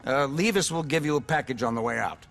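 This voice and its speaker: An actor playing a smug, cynical, needy politician in a video game, with a pompous, glib and effusive manner. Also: male voice, medium pitch, fast talker, vocal fry, a bit bright, faint music behind. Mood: smug, scheming. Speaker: politician character. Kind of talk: video game cutscene acting.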